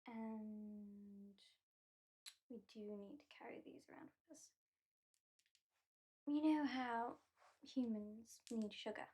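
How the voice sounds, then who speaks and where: Brit lady doing a snarky vampire girl, soft mellow voice, kinda high, whispery asmr. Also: fairly even intonation, slow pace, pauses often, slightly rough. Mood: languid. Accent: British. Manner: soft-spoken, drifting into whispers, dryly sardonic. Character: haughty, mocking female vampire with attitude. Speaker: ASMR performer. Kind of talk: ASMR roleplay.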